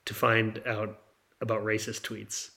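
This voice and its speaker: A man's voice: on the low side, completely flat delivery, a little slow, creaky, sharp tone. A young man co-hosting a casual comedic podcast, rambling and joking, often trailing off mid-thought, talking into a handheld microphone. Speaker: podcast co-host. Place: in France, apart from co-host.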